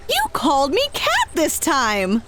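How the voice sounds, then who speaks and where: A woman playing a sheepish, casual young adventurer in an audio drama, hesitant and expressive. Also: extremely high pitch, full character voice. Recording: faint background noise.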